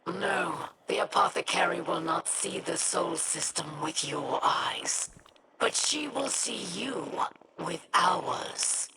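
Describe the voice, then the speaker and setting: Game cutscene role: resolute alien, unhurried, portentous delivery, heavy noise behind. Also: medium pitch, expressive, vocal fry. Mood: solemn defiance. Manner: measured, portentous. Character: solemn, resolute alien speaker defying an enemy. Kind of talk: video game cutscene acting. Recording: very noisy cutscene sound bed.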